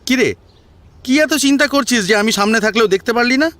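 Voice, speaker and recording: A man's voice: extremely high pitch, fast, fairly bright tone, no echo, voice pushed up. A man voicing a young male college friend, informal and teasing, in an audio story. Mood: calling, teasing.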